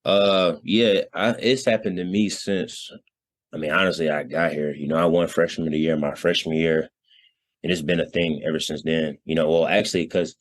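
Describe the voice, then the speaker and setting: Very deep-voiced young man, casual and slangy. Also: fairly even intonation, moderate pace. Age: early 20s. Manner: informal, slangy, frequent 'you know'. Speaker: college basketball player, interview guest. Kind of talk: casual, conversational interview answers.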